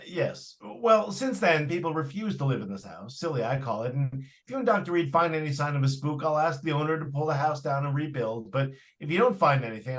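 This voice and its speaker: A fussy real estate agent, played by a man in a 1940s-style radio play. His voice is a little high. He hesitates as he begins, then lays out the facts earnestly and without fancy. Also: natural voice. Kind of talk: 1940s live radio drama acting.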